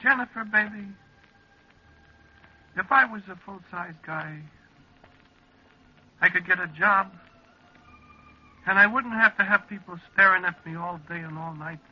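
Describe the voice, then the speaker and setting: A man's voice: sits high, unhurried, pauses often. An actor in an old-time radio drama playing a wistful, love-struck little carnival man who stammers and talks in folksy slang. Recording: dull, muffled old radio recording.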